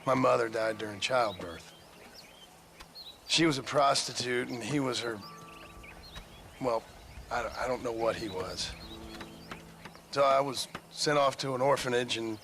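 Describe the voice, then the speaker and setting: An actor playing a gruff, weary former outlaw cowboy with an American accent, speaking plainly and haltingly in a video game drama. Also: male voice, mid-range voice, fairly bright tone. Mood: weary, reflective. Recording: faint outdoor background noise.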